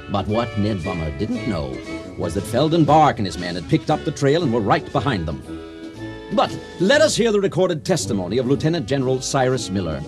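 A man acting as a theatrical, showman-like narrator of a science fiction radio drama. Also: medium pitch. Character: folksy, theatrical storyteller presenting a space tale.

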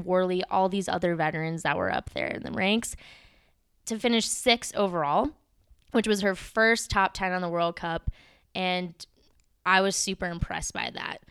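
Very clear-voiced woman, dry close sound. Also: medium pitch, fairly bright tone, no echo. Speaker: podcast co-host. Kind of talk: casual podcast commentary on ski racing.

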